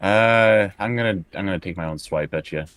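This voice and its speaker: Low-voiced man playing a tabletop game. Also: fairly quick, a bit bright, dry recording. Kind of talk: casual game chat.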